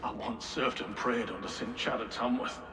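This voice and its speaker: A man's voice: mid-range voice, fairly even intonation, moderate pace, natural voice. A man as solemn ex-monk in long reverb. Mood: remorseful. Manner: solemn, devout, weary. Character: regretful, pious former monk turned warrior. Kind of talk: video game cutscene acting. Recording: reverberant, roomy, faint ambient noise.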